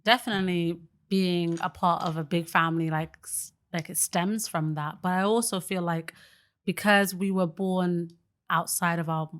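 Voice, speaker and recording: A young woman speaks as a podcast guest. She is chatty and sincere, leaning on "like" and "you know". Her voice sits slightly low for a woman. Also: fairly even intonation, moderate pace. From Britain. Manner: chatty and earnest, many fillers like "like" and "you know"; laughs easily. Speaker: guest, early childhood educator. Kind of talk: casual podcast conversation.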